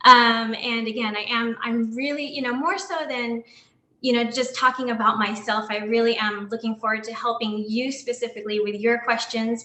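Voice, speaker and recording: A bright, fairly high-voiced woman in her thirties from New York walks an online audience through her slides. She talks earnestly, stopping often for ums and restarting her sentences, and her voice rings in an echoey room. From Long Island, New York. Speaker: guest dentist giving a talk.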